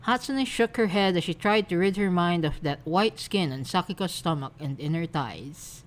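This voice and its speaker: A woman narrating a fanfiction aloud in a gentle, expressive storybook reading. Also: lowish voice, normal speed. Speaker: fanfic reader.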